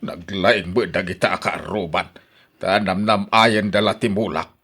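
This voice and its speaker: An actor playing a grumpy, stingy old husband in a radio drama, scolding and complaining with an Ilocano accent. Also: male voice, low-pitched, steady tone, unhurried, a bit bright, breathy edge, full character voice. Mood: indignant, grumbling. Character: grumpy, stingy old husband guarding his fruit trees. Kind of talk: Filipino radio drama acting.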